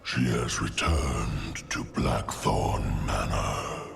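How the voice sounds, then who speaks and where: An actor voicing a huge, ominous undead spirit in a video game, grave and cavernous, with a gravelly voice. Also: a bass voice, extremely slow, heavily acted. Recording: music behind the voice.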